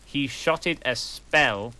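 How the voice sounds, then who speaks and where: A man reading a badly written story aloud in a slow, deliberate way, mock-dramatic and sarcastic. He reads out the typos and punctuation with dry mockery, in a voice of everyday middle pitch. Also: bright, forceful tone. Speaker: reader of a fan story.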